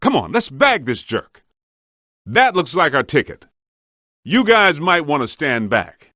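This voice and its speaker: Exasperated practical ghost hunter, video game, bright forceful voice, very dry studio sound, slangy wisecracks. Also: male voice, a little high, big pitch swings, unhurried, no echo, exaggerated voice. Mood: upbeat. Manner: casual, slangy, punchy delivery.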